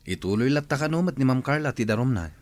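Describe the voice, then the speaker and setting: An actor playing a concerned, sympathetic school colleague in a radio drama, speaking in a probing way. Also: male voice, mid-range voice, animated, quick pace, darkish tone, close to an everyday voice. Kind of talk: Filipino radio drama acting.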